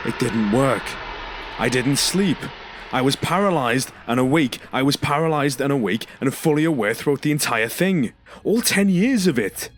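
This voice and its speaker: A man's voice: mid-range voice, animated, moderate pace, a little hiss or hum, lightly acted. A man acting in an audio drama as a distressed, sarcastic and exasperated survivor, indignant and pleading. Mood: desperate, insistent.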